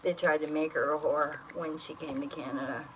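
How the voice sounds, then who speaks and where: A woman's voice: deep voice, fairly even intonation, a little fast. A woman hosting her cooking video, talking in a blunt, profane, insistent ramble as she cooks. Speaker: cooking show host. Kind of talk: casual rambling chat while cooking. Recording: noisy room ambience.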